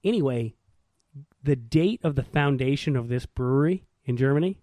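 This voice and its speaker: A man's voice: mid-range voice, moderate pace, mellow, dry recording. A man co-hosting a podcast, chatting casually. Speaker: podcast co-host. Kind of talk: casual chat.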